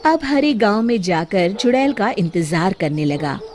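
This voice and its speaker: A woman narrating a children's moral story in an expressive, scripted storytelling style. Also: mid-range voice, unhurried, slight background noise.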